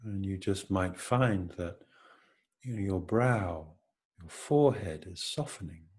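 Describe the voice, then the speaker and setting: A man leading an unscripted, reflective guided meditation, speaking gently in halting phrases with long pauses. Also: low voice, slightly rough.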